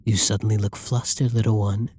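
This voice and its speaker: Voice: mid-range voice, flat delivery, fairly quick, darkish tone, slight background noise, close to an everyday voice. An actor voicing a flirty, possessive villain who menaces and teases a hostage in an ASMR roleplay, domineering and often dropping into whispers. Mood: flirty, smug. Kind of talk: roleplay ASMR audio drama monologue.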